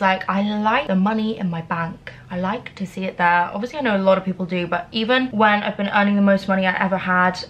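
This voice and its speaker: Chatty British woman in her twenties. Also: mid-range voice. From Britain. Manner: chatty and candid, with frequent "like" fillers. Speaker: beauty vlogger. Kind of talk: casual talking-to-camera vlog. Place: bedroom at home, indoors.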